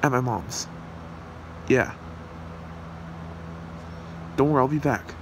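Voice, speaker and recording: A man's voice: medium pitch, takes it slowly, voice pushed up, natural voice, poor audio. A man playing an earnest, devoted young hero boyfriend in an amateur ASMR roleplay. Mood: tender. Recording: steady hiss and hum behind voice.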